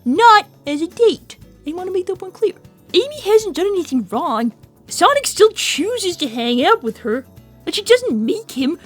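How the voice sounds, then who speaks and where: A woman's voice: very high-pitched, sing-song, moderate pace. A woman voicing an earnest, chatty young animal character in a cartoon fan dub. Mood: earnest, firm. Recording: background music under voice.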